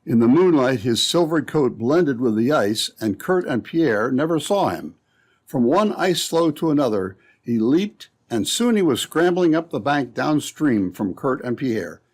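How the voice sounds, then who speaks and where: A man's voice: mid-range voice, very animated, measured pace. A man narrating as an old-time radio announcer, with scripted, dramatic and emphatic delivery.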